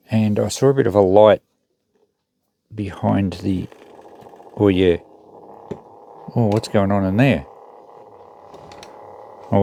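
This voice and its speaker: Low, gravelly-voiced man at the workbench. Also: dark tone. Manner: thinking aloud while working, unscripted. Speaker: host of a repair channel. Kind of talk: casual hands-on repair commentary.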